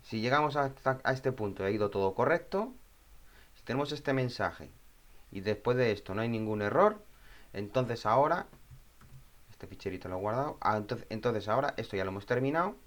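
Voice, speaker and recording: A man presenting an informal step-by-step software tutorial, thinking aloud and often checking in with short questions. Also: mid-range voice, lively intonation, brisk.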